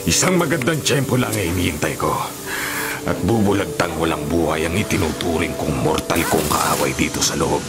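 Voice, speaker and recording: A man's voice: expressive, normal speed, over loud music. A man acting in a Filipino radio drama as a menacing prison inmate nursing a deadly grudge, speaking with cold scorn. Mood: menacing, scornful. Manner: low, cold, scornful threats. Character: menacing, grudge-bearing male prison inmate plotting a killing.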